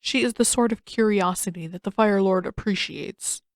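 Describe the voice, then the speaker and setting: A woman voicing a calm, measured older uncle, a diplomatic advisor, in an audiobook. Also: mid-range voice, dry recording, clean studio sound, natural voice. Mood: calm, persuasive. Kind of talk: audiobook character voice.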